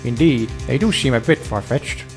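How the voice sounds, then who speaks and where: A man playing a solemn, formal judge in a video game courtroom drama, speaking deliberately and with authority. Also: mid-range voice, lightly acted. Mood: considering. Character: solemn, mildly bewildered male courtroom judge. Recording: faint music behind the voice.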